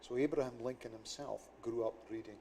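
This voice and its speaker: A Scottish man with a gravelly, dark voice, talking through history and little-known facts as a studio guest. His delivery is explanatory and anecdotal. A faint hiss sits under the recording. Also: medium pitch, fairly even intonation, a little fast. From Scotland. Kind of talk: studio talk show interview.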